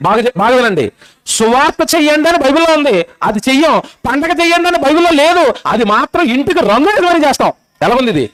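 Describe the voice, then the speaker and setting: A male preacher delivers a fervent sermon in an extremely high-pitched voice. He talks quickly, pressing the gathering with scolding rhetorical questions and urgent exhortation. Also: a bit bright, rough recording.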